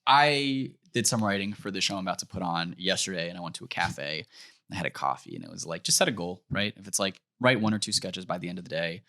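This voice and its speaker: A man with a fairly low voice that has a bright, forceful edge. He talks casually, wandering from point to point with a joking manner, like a guest on a comedy podcast. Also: creaky. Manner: rambling, joking. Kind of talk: casual chat.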